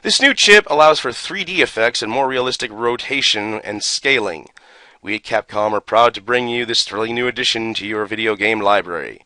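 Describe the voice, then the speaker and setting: A man's voice: lowish voice, moderate pace, bright, forceful tone, dry recording. A man speaking as a gaming YouTuber in an opinionated, sarcastic and exasperated manner with strong emphasis. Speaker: video game YouTuber. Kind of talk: opinionated rant and booklet reading.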